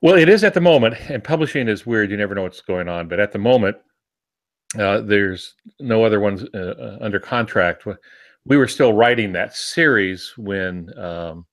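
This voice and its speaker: Low-voiced man in casual, halting book chat. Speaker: novelist and podcast panelist. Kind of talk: casual podcast chat about books and writing.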